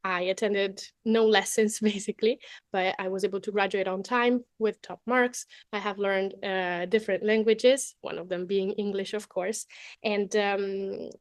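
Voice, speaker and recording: A woman's voice: medium pitch, fairly even intonation, a little slow, no echo. Italian-accented woman, young, presenting live online, dry close sound, lots of "okay?". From Italy. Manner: chatty, many fillers and "okay?" tags. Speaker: webinar presenter.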